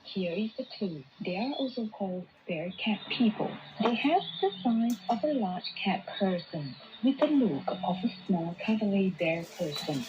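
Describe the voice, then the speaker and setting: A woman's voice: mid-range voice, lively intonation, unhurried, few pauses, heavy background noise. Woman announcer on muffled shortwave radio. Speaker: TV program promo announcer. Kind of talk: scripted promotional narration. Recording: dull lo-fi shortwave radio broadcast. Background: music and animal sounds.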